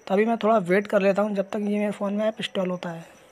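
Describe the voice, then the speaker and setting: A man's voice: sits high, level tone, fast talker, a little mellow. A man narrating a step-by-step phone tutorial in an informal, repetitive way, addressing viewers as guys. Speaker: tech tutorial host. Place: voice-over on a phone screen recording.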